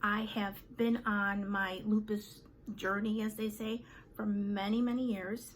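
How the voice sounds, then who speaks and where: A woman in her fifties in a casual vlog, talking to her viewers in a chatty way with many fillers and pauses. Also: medium pitch, little pitch movement, a little hiss or hum. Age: 50s. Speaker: vlogger. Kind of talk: casual chat to camera.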